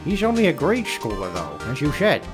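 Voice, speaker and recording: A man's voice: a little high, wildly swooping pitch, normal speed, music in the background. A man playing a pompous, easily baffled old judge in a courtroom game, speaking formally and ponderously. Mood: pondering. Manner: formal, ponderous, puzzled questioning. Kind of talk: dubbed courtroom game acting.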